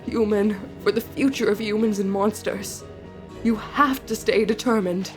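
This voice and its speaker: A woman's voice: mid-range voice, steady tone, measured pace, faint music behind, pitched below the performer's own voice, close to an everyday voice. A woman voicing a kindly, solemn goat monster king speaking earnestly.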